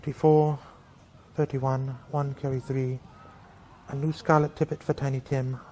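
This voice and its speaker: An actor playing a meek, kindly Victorian clerk in a radio drama, polite, apologetic and eager to obey. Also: male voice, medium pitch, level tone, measured pace, warm tone, a little hiss or hum, natural voice, low-quality recording. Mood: busy.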